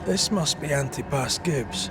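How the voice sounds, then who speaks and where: A man's voice: on the high side, measured pace, loud music behind the voice, close to an everyday voice. A male actor playing a dry, sardonic ghost hunter in a video game, in a naturalistic style. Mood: wry, investigative. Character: wry, gruff adult male ghost hunter.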